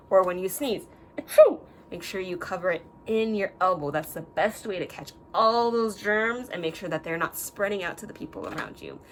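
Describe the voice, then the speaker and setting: A woman teacher talking gently to young children, singing little hand-washing songs and reading a picture book aloud with many questions. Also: mid-range voice, normal speed, slight background noise. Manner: gentle, sing-song, asks many questions.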